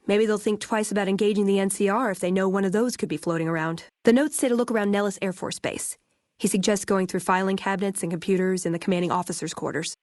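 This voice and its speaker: An American actress playing an earnest, wry young idealist in a video game, speaking with dry sarcasm and conviction. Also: female voice, medium pitch, a little mellow, no room sound, lightly acted. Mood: thoughtful. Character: earnest, wry young woman, idealistic tech-order scribe. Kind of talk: video game character dialogue.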